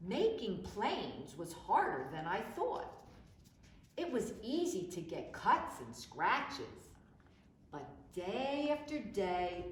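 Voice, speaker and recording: Echoey, animated woman reading a children's story. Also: mid-range voice. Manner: animated, dramatic, measured. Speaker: library storytime reader. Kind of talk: expressive children's picture-book read-aloud. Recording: echoey room with background noise.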